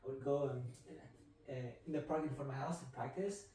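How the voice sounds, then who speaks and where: Man, 20s, from Canada, first language Vietnamese, mellow tone, measured and reflective, echoey room, distant mic. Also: medium pitch, slight background noise. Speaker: guest, marathon runner. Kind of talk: interview answers.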